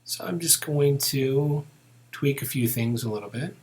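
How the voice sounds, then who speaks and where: A man teaching software in a casual, unscripted way, thinking aloud as he walks through each step. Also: medium pitch, a little slow. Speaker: software tutorial instructor. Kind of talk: narrated step-by-step screen tutorial, unscripted.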